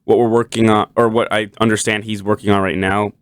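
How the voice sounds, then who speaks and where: Young Puerto Rican man, lowish voice. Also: level tone, a little fast, smooth, no echo. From Puerto Rico. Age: young adult. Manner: rambling, many restarts and "like", "kinda". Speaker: podcast host. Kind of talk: casual conversation.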